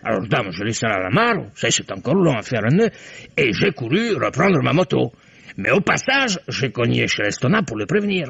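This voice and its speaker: A rambling raspy customs officer. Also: male voice, medium pitch, moderate pace, no room sound, exaggerated voice. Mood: excited recounting. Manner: excited, rambling storyteller. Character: talkative male customs officer, middle-aged. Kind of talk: 1950s French radio drama acting. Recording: muffled old radio recording.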